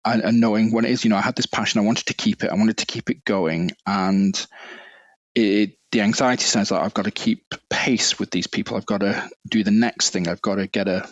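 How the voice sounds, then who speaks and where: A man's voice: fairly low pitch, fairly even intonation, a little fast, dry recording, muffled recording. A man from Greater Manchester with a northern English accent, reflecting earnestly as a podcast guest with many fillers and restarts. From Greater Manchester, England. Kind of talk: casual conversational interview.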